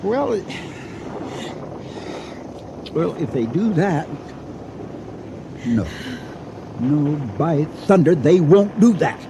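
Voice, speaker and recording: An actor playing a domineering, excitable husband in an old-time radio thriller, insistent, emphatic and theatrical. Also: male voice, fairly high pitch, extremely slow, gravelly, pauses often, muffled recording, background noise, exaggerated voice. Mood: agitated, insistent. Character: domineering, excitable husband rationalizing a grim crime.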